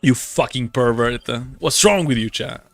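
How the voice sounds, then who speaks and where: A man's voice: mid-range voice, expressive, a little slow. A young man streams a game and chats in a mid-range voice. His talk is casual, slangy and jokey with swearing, and music plays faintly behind him. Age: young adult. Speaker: livestreamer playing a game.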